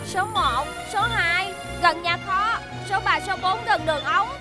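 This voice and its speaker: Very high-pitched little helicopter robot. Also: female voice, measured pace. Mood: eager. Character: cheeky young rescue helicopter robot. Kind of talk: cartoon dub. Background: music.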